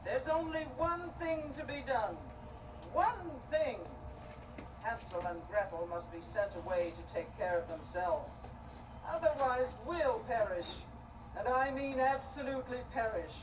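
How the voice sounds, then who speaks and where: A man's voice: extremely high pitch, animated, measured pace. A man playing a wicked, selfish woodcutter's wife in a stage story, scheming and scolding with theatrical emphasis. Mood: scheming, insistent. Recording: distant mic, clear background noise.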